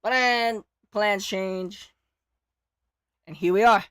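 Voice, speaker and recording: A game livestreamer with a hoarse, partly lost voice, chatting casually and excitably with viewers. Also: medium pitch, slow pace, no echo, clean studio sound. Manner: excitable, exclaiming greetings to chat. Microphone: close microphone.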